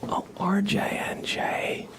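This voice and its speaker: A man hosting an ASMR livestream, speaking in a hushed, breathy whisper as he chats with viewers. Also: takes it slowly. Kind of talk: ASMR, live chat with viewers.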